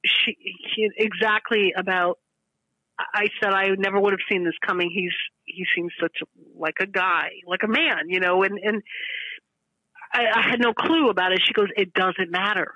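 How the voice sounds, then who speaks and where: A woman of fifty-five calling in to a show, speaking earnestly and candidly in halting, restarted sentences. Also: mid-range voice, fairly even intonation, pushed and bright, no echo, highs cut off. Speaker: regular caller to a show. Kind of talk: candid emotional conversation.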